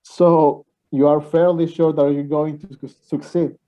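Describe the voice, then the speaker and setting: Man as lovestruck young male student, anxious, earnest, higher pitch, dull recording, amateur radio play. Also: little pitch movement, a little slow, lightly acted. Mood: competitive.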